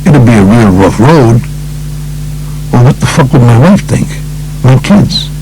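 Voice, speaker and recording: A man voicing a tough, aggrieved, profane mob underboss in an audiobook, blunt and streetwise, with a gravelly voice. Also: mid-range voice, lively intonation, unhurried, mellow, soft background music, poor audio. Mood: brooding.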